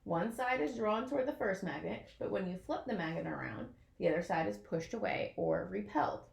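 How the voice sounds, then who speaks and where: A woman reading a children's picture book aloud in an expressive way, as if to young listeners. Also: mid-range voice, a little fast, vocal fry, roomy sound. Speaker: storybook reader.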